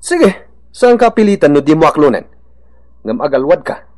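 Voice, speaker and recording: A man's voice: on the high side, sing-song, normal speed, darkish tone. A man playing an insistent, admonishing accuser with an Ilocano accent in a radio drama. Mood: accusing.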